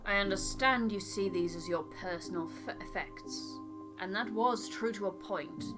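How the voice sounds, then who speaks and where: A woman voicing a composed, pragmatic ship's captain in a game, speaking in a measured, firm way. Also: mid-range voice, over music, close to an everyday voice. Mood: firm, reasoning. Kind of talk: voiced game dialogue.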